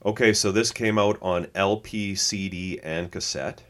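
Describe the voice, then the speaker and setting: A man's voice: deep voice, a little slow, fairly bright tone. A man co-hosting a podcast, chatting casually, reading notes aloud and laughing easily. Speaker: podcast co-host.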